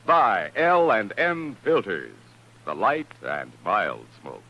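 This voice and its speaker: A man's voice: lowish voice, wildly swooping pitch, slow. An American man reading a scripted advertisement in a 1950s radio style. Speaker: commercial announcer. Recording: muffled vintage radio recording.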